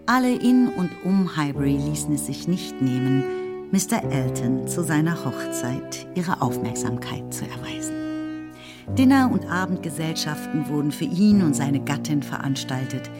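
A female narrator reads a literary story for a studio radio play. Her voice is low for a woman. Music is clearly heard behind the scripted narration. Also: expressive, normal speed.

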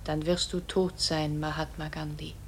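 Talking bullet, low female voice, soft, remorseful, solemn radio drama, faint background noise. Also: flat delivery, moderate pace. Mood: tender, solemn. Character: remorseful iron bullet, tender, pleading for forgiveness. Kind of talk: radio play acting.

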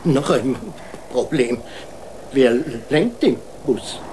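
An actor playing a deferential, worried court marshal in a children's radio play, halting, stammering and politely cautious. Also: mid-range voice, lively intonation, noisy background.

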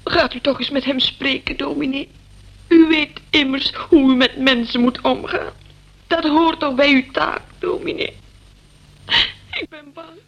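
Anxious, high-voiced pleading woman. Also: steady tone, unhurried, highs cut off. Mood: pleading, afraid. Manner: plaintive, imploring. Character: anxious, pleading woman fearing for her eccentric partner. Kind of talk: radio play acting. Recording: noisy old radio play recording.

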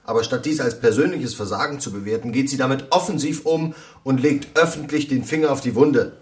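Ein Schauspieler spielt im Hörspiel einen ernsten, pathetischen linken Gewerkschaftstyp, der etwas entfernt vom Mikrofon spricht. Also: male voice, mid-range voice, a little fast, distant mic, natural voice. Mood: solemn, declamatory. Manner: declamatory, pompous. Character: pompous socialist colleague, earnest union-style orator. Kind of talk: radio drama, reading out a press statement.

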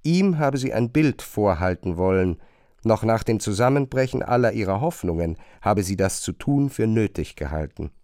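A man's voice: fairly low pitch, expressive, normal speed, warm tone. A man narrating a literary radio play.

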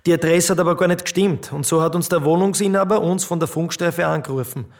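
Brisk police inspector , an actor's role. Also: male voice, a little high, natural voice. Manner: brisk, matter-of-fact report. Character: police inspector giving a report.

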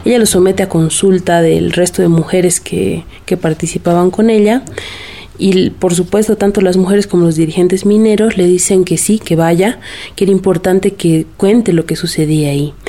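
A woman's voice: on the low side, steady tone, normal speed, dark tone. A woman giving a spontaneous, explanatory interview answer as a researcher, feeling for her words. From Bolivia.

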